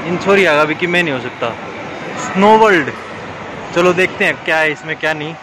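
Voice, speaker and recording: Haryanvi guy in his 20s, playful wisecracking vlogger, bit high-pitched, recorded on a phone over heavy mall noise. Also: brisk. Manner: chatty, teasing, wisecracking. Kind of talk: casual joking vlog commentary. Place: large indoor shopping mall.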